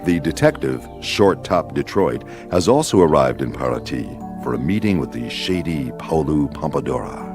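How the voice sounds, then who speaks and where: A man's voice: extremely low pitch, very animated, moderate pace, few pauses, full character voice. A man narrating a radio adventure serial in a solemn, dramatic scripted style. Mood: measured, dramatic. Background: music.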